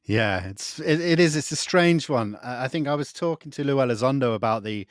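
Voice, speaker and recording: Interviewer: man, average pitch, affirming conversational delivery, dry recording. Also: lively intonation, fairly quick, no echo. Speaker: interview host.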